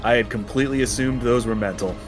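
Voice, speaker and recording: A man's voice: mid-range voice, fast talker, over music. A man talking casually as a tabletop game player, with a dry, wry manner.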